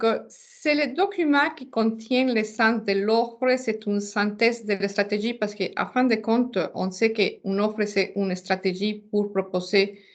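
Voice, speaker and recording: Slow, halting woman with a foreign accent. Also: mid-range voice, highs cut off. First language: not French. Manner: halting, searching for words, repeating words. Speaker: webinar presenter. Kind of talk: informal slide presentation.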